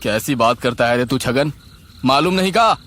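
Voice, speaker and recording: A man voicing an agitated, indignant village man, loud and scolding, in a horror cartoon. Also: mid-range voice, expressive, normal speed, natural voice.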